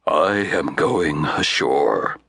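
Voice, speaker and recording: Gray-haired uncle, stern and pompous, as a male narrator plays him; dry, expressive delivery. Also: mid-range voice, no echo, voice pushed up. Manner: grandiloquent, slow and stern.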